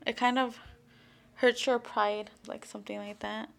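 Interviewee: a young woman from Mexico, late teens, relaxed and chatty, gravelly tone held to a narrow, steady pitch. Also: measured pace. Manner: casual, fills with "like" and "you know". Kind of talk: casual interview talk.

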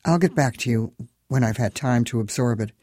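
A man's voice: medium pitch, a little fast, darkish tone, no room sound, clean studio sound, close to an everyday voice. A man reading the lines of a weary, stubborn older widow in a plain, tired way.

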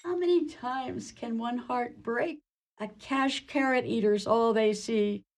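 Actress as slow, creaky, grieving pet lover. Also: female voice, on the high side, slight background noise. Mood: heartbroken, adoring. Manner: melodramatic, drawn-out, sentimental. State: grieving, tearful. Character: doting, heartbroken woman pining for her beloved rabbit. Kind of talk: comedic radio play melodrama.

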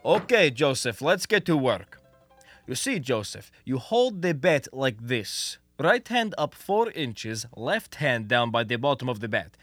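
A man's voice: mid-range voice, big pitch swings, a little slow, a bit bright, no room sound, faint music behind. A young man acting in a radio comedy as a confident, scheming who coaxes in wordy, stilted foreign phrasing. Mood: eager coaching. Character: scheming, confident Russian male defector posing as an American. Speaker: student actor.

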